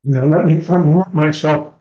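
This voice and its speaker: A man's voice: on the high side, a little slow. A man in his seventies introducing himself on a video call, rambling and reflective, often correcting himself. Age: 70s. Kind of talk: self-introduction in online meeting. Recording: muffled video call audio.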